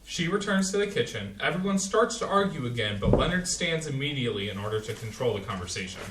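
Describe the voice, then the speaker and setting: A man reads stage directions in plain, even narration. His voice is bright and reaches the mic from a distance. The room around him echoes. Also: mid-range voice, fast talker, slight background noise. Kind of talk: reading stage directions aloud. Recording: distant, roomy stage sound.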